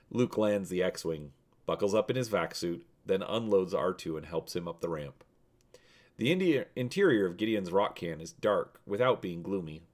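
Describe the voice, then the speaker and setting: A man hosting and narrating a dramatic reading of an adventure storybook. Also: deep voice. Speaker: host and narrator.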